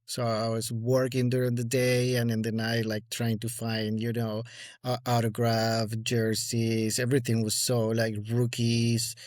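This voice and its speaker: A man's voice: medium pitch, monotone, measured pace, darkish tone, no room sound, clean studio sound. A man in his forties with an Argentine accent, a guest speaking in a casual interview with many fillers and restarts. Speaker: guest collector.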